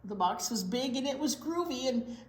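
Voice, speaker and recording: Woman reading aloud, very animated, high voice. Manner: expressive, drawn-out key words, prompting questions to small children. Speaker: children's storytime teacher. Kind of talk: animated picture book read-aloud for young children. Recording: roomy, echoey indoor sound.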